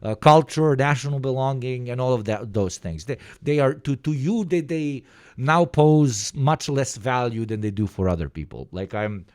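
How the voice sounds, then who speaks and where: A man with an Eastern European accent, reacting to a video in casual, profane, rambling commentary with sudden animated bursts. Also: mid-range voice, normal speed, no echo, clean studio sound.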